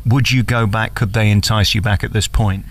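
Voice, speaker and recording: Bloke doing a radio interview, lowish voice, flattering and a bit cheeky. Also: male voice, moderate pace. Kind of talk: interview questions and commentary.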